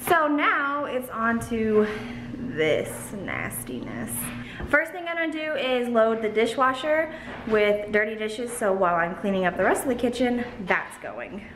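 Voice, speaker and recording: A woman's voice: on the high side, big pitch swings, measured pace, fairly bright tone, rough recording. A young woman vlogs from her kitchen, talking close to her phone. She sounds worn out and rambles casually, padding her thoughts with ums and likes. A faint hiss sits under the home recording. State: tired. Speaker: vlogger. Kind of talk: casual vlog chat. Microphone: phone held close.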